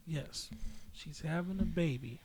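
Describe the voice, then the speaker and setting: Higher-pitched man, emphatic podcast talker. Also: unhurried, a little mellow, a little hiss or hum. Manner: emphatic, slangy storytelling with repetition. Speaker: podcast host. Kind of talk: casual opinionated podcast chat.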